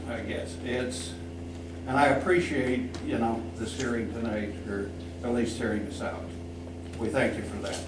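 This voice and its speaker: Blunt, halting man at a distant mic. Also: medium pitch. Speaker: resident, former county supervisor, speaking at public comment. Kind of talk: unscripted public remarks. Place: public meeting room. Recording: distant mic, echoey noisy room.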